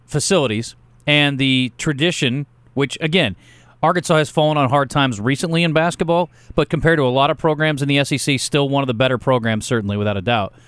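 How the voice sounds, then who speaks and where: Fast-talking loud male radio host. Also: on the high side, no room sound. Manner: fast, opinionated and joking. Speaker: radio sports talk host. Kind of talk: casual sports radio banter.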